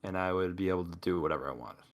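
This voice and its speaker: A man's voice: low voice, rapid-fire. A man co-hosting a gaming podcast, talking in a casual, rambling way with many restarts. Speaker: podcast co-host.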